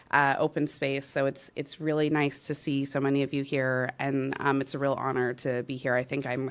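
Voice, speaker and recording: A woman's voice: very deep voice, clear, resonant tone. A woman in her thirties from New York giving an earnest public speech as a parks official, with many fillers and restarts.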